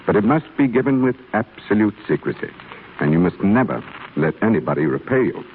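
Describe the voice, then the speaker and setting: Wise old stonecutter, real deep voice, preachy and kind, muffled old radio drama sound. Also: male voice, big pitch swings. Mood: earnest, fatherly. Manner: measured, earnest, preaching. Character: kindly earnest older stonecutter and sculptor, philosophical mentor. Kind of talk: 1930s radio drama acting. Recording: muffled scratchy 1930s radio broadcast.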